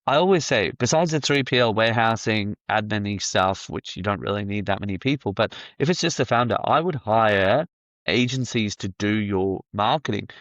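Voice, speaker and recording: Low-voiced male marketing guest. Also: expressive, normal speed, fairly bright tone, no echo, muffled recording. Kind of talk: casual interview chat.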